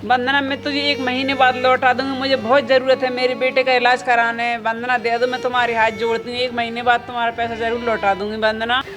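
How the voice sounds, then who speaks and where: A woman's voice: on the high side, level tone, quick pace, few pauses, lightly acted. A woman playing a desperate poor mother who begs repeatedly in a tearful, pleading voice. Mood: tearful begging. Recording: music under the voice.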